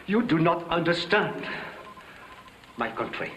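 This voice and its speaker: An actor playing a proud, haughty older general, speaking slowly and formally. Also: male voice, a little high, very animated, a bit bright, slight background noise, exaggerated voice. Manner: slow, formal, declamatory. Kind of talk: film drama acting.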